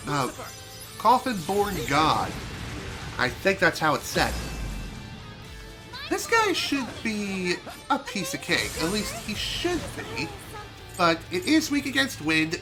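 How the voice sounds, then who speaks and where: A man streaming a video game, chatting casually and rambling with self-amused asides, his voice a little hoarse from allergies. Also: high-pitched. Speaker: gaming streamer. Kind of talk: casual let's play commentary. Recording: game music behind voice. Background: game music.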